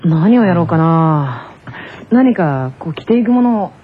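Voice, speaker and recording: An actor playing a gentle, agreeable teenage boy, a senior teammate, in an anime radio skit. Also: mid-range voice, expressive, measured pace, lightly acted. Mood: musing. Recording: noisy muffled lo-fi radio audio.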